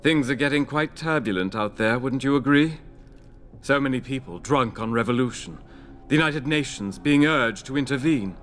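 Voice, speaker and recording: Older male scientist-inventor, played by a male actor: measured, wry, world-weary game cutscene delivery over soft music. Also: medium pitch, natural voice. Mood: urbane, wry. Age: 60s. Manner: measured, rhetorical, gently ironic. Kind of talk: video game cutscene acting.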